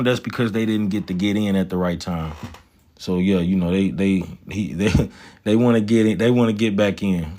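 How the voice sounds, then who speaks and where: Man, lowish voice, cocky, profane, slangy stock talk to a live chat. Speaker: stock market livestreamer. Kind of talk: casual livestream chat about stocks.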